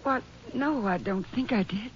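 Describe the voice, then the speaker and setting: A woman acting a shaken young schoolteacher in a 1950s radio drama, halting and distressed. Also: fairly high pitch, slightly rough. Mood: nervous. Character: shaken young female schoolteacher, frightened attack victim. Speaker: radio actor. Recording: muffled old 1950s radio recording.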